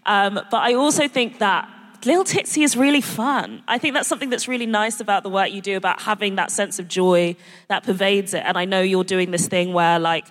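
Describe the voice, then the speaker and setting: A woman's voice: medium pitch, a little fast. A woman guest comedian on a live stage, bubbly and giggly with playful slang. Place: concert hall stage. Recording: long reverb of a live concert hall.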